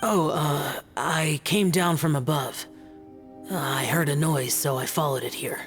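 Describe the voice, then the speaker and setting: A man voicing an aloof, stern young demon slayer in a video game, speaking tersely and gravely. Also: on the high side, unhurried, a bit bright, faint music behind. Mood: guarded, stern. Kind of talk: English video game voice acting.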